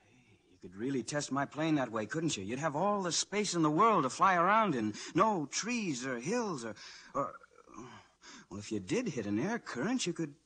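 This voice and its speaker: An actor playing an eager, earnest young inventor with boyish, halting enthusiasm in 1940s radio style. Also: male voice, fairly high pitch, very animated, pushed and bright, husky, full character voice. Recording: noisy 1940s radio broadcast recording.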